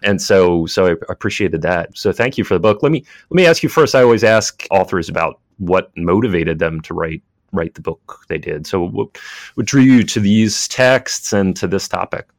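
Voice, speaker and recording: Low-voiced male podcast host, hesitant. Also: expressive, normal speed. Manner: hesitant, repeats words. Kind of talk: academic interview.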